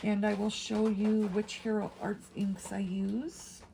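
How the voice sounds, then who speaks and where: A middle-aged woman, raspy from the flu, chatting her way through a paper crafting tutorial with plenty of ums. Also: mid-range voice, level tone, takes it slowly, background noise. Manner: chatty, rambling with frequent ums. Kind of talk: casual step-by-step craft tutorial.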